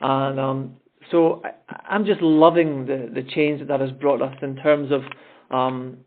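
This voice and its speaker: A man's voice: mid-range voice, fairly even intonation, a little slow. Scottish man on a tinny phone line. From Scotland. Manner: friendly, rambling with many restarts. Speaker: private school principal. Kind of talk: panel answer on a call-in town hall. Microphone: telephone.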